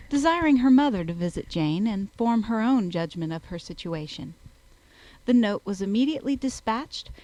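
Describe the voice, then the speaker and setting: A woman's voice: fairly high pitch, darkish tone, no echo, low-quality recording. A woman reading a classic novel aloud as scripted audiobook narration.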